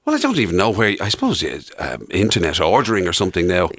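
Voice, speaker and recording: A man's voice: deep voice, huge pitch swings, fast talker, fairly bright tone, no room sound, studio quality. An Irish man co-hosting with casual comic banter, agreeing and egging his partner on. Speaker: radio and podcast co-host.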